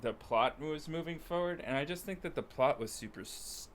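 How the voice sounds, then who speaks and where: A man with a bright voice chats as a podcast co-host, informally working out his thoughts as he goes. There is some faint noise behind him. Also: fairly high pitch, lively intonation, normal speed. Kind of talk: casual chat about games and films.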